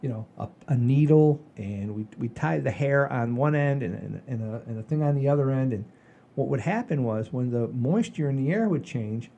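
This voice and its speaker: An older man hosting a podcast, telling stories in a folksy, rambling, animated way with a husky voice. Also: medium pitch, moderate pace, dull audio. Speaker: podcast host. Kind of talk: casual chat and storytelling.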